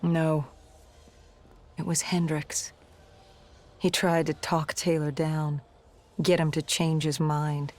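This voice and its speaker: Flat-toned, battle-weary soldier. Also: female voice, deep voice, slightly rough, heavily acted. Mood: haunted, grim. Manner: blunt, bitter, grim. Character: defiant, battle-weary female soldier recounting a mission. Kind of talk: video game narration acting.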